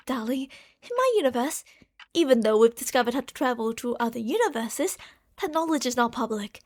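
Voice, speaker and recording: A very high-pitched, lovesick yandere girlfriend played by a woman speaks straight to her darling in an ASMR roleplay. She is a delusional genius scientist, gushing and possessive, moving between hushed confiding and excited devotion. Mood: smitten, boastful. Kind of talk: ASMR roleplay monologue addressed to the listener.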